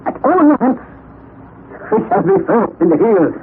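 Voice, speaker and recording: An actor playing an excitable, eccentric elderly professor in a 1960s radio drama, speaking in a commanding, theatrical way. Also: male voice, high voice, a little slow. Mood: earnest. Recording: lo-fi vintage radio broadcast with hiss.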